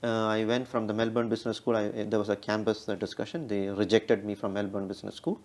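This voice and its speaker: A man's voice: fairly low pitch, level tone, a little mellow. A man with a heavy Indian accent, telling stories as an interview guest and often checking in with "right?". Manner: ends many sentences with a questioning "right?"; fast talking. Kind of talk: conversational interview storytelling.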